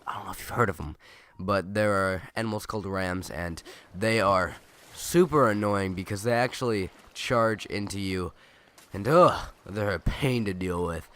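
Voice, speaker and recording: A young man with a cold, his nose stuffed and his voice raspy, rambling chattily and correcting himself as he comments on a video game. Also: lowish voice, a bit bright, slight background noise. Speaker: let's play gamer. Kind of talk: casual gameplay commentary.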